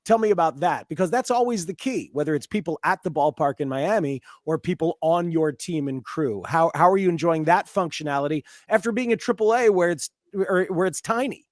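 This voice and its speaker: Higher-voiced American man in his fifties, dry sound. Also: no echo. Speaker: sports talk radio host. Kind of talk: casual conversational interview.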